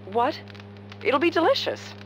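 A woman voicing a composed, gently reserved, worldly woman in a video game, speaking in a measured, thoughtful way. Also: sits high, very animated, a little hiss or hum, heavily acted. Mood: calm, thoughtful.

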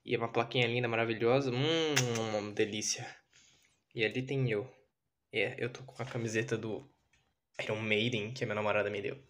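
A man's voice: mid-range voice, fairly even intonation, normal speed, fairly bright tone. A young man with a Brazilian Portuguese accent, rambling casually and playfully in a self-deprecating way, as in an informal vlog. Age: young adult. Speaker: YouTuber. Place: small bedroom, indoors. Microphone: handheld camera or phone. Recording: noisy handheld camera audio with clicks.

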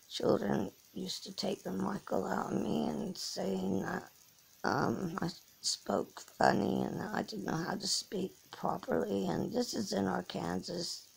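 A middle-aged woman from Norfolk, England, with an English accent tinged with American Southern, rambling slowly and haltingly while lying in bed and talking into a phone held close. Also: mid-range voice, creaky, a little mellow, slightly rough, low-quality recording. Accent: English with some American Southern. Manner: slow, halting, many restarts and fillers. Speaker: vlogger. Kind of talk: rambling personal monologue. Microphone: phone held close above the face.

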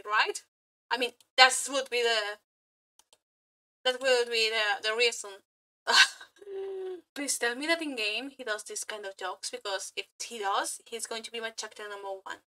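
A woman giving a casual live reaction as a streamer, excitable and giggly, swearing freely like a fangirl. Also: on the high side, moderate pace. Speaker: streamer reacting to game character demo videos. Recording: music behind the voice.

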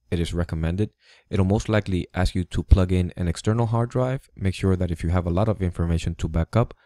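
Low, dark-voiced man explaining steps. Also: no echo, studio quality. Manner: plain, instructive, slightly halting. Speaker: tutorial host. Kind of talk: step-by-step tech tutorial voice-over. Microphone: USB studio microphone.

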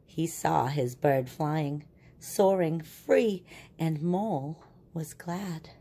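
A woman reading a children's picture book aloud and explaining it in a deliberate, teacherly way. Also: low voice, dark tone, breathy edge.

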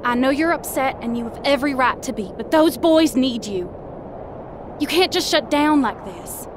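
A woman's voice: sits high, expressive, normal speed, background noise. A woman playing a fierce, grieving young woman in a comic dub drama, impassioned and scolding. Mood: earnest, pleading.

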